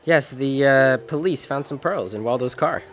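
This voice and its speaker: An actor playing a world-weary, decent American private detective in an old-time radio drama, wry and clipped. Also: male voice, mid-range voice, moderate pace, close to an everyday voice. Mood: gentle, awkward. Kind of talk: 1940s detective radio drama, first-person narration and dialogue.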